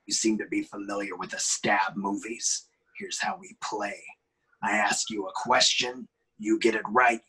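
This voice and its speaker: A taunting killer on the phone from a horror film script, read by a man. The voice is husky and breathy, drawing out threats slowly and dropping into a cold, menacing whisper. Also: on the low side, lively intonation, sharp tone, full character voice, rough recording. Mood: coldly taunting. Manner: slow, breathy, teasing delivery with whispered threats.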